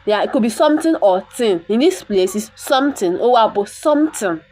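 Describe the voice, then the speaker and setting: Igbo-speaking woman teaching slowly, with repetition. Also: fairly high pitch, expressive, a little mellow. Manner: slow, repeats words for pronunciation practice. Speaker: language teacher. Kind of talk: reading and explaining a bible text.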